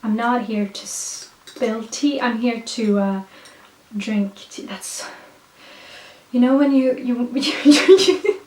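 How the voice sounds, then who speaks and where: A young Swedish-accented woman with a fairly high voice, talking in a hyper, rambling way full of "like" and "um" and laughing at herself. She sits a little back from the mic in an echoey room, so her voice sounds roomy and slightly distant. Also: darkish tone. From Sweden. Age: young adult. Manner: hyper, self-mocking, many fillers and restarts. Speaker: vlogger reading her own poetry. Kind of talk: rambling casual chat and slow poetry reading.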